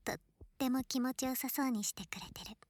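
A woman playing an affectionate, teasing young girl best friend in an ASMR roleplay, playful and breathy, often slipping into whispers close to the microphone. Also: high-pitched, fairly quick. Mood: tender teasing. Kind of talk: Japanese ASMR situation voice drama, close intimate roleplay. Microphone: close ear-to-ear ASMR microphone.

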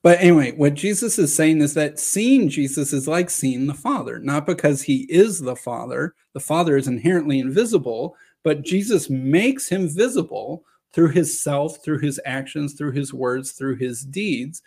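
A slightly hoarse man giving a measured lecture, reading scripture passages aloud and explaining them. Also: fairly high pitch, animated, darkish tone. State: slightly hoarse from long talking. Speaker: video presenter on scripture. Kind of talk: lecture-style reading and commentary.

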